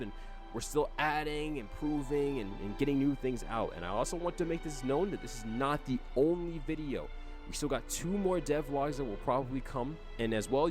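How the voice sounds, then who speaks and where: A man's voice: mid-range voice, a little mellow. A man narrating a game team's update in an earnest, informal way, speaking directly to the audience. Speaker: announcer for a game development team. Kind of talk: semi-scripted update and thank-you narration. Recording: music playing clearly behind the voice.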